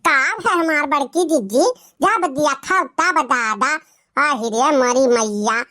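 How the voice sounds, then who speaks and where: A performer voicing a fat, sharp-tongued cartoon village woman, scolding and taunting with drawn-out wails in an Awadhi accent. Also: high voice, exaggerated voice. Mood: scolding complaint. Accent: Awadhi, eastern Uttar Pradesh. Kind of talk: rustic comedy cartoon dialogue.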